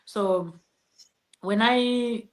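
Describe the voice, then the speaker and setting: A woman's voice: on the high side, extremely slow, low-quality recording. A middle-aged woman with a Zimbabwean accent, a native Shona speaker, telling her personal story as an interview guest.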